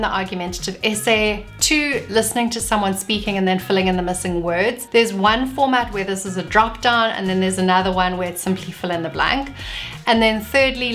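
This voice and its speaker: A South African woman talks through exam advice at a clear, steady pace. Her pitch sits in a middle range. Soft music plays faintly underneath. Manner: clear, measured and advising. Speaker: vlogger giving test tips. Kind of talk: scripted tips video.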